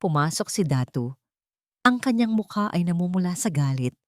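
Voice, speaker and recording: A woman's voice: lowish voice, lively intonation, normal speed, a little mellow, no echo, clean studio sound. A woman reading a dramatic scripted audio story as its narrator.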